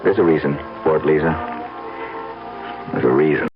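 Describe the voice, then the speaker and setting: A tormented, brooding man in radio drama, speaks low and haltingly with secretive intensity. The sound is a dull old broadcast with music underneath. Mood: evasive, tense. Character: tormented brooding male painter, secretive and haunted. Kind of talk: 1970s radio drama acting.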